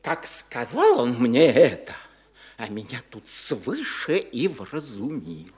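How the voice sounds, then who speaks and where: A fussy chattering landowner. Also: male voice, mid-range voice, wildly swooping pitch, takes it slowly, darkish tone. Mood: eager. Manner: breathless, stammering, rambling storytelling. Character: fussy chattering provincial landowner, middle-aged man. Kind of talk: stage radio play acting.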